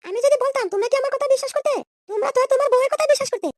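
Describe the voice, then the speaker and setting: A man's voice: extremely high pitch, very fast, dry recording. A man voicing a brash, scolding, scheming young woman in a comedy cartoon. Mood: indignant, explaining. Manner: scolding, threatening, slangy insults.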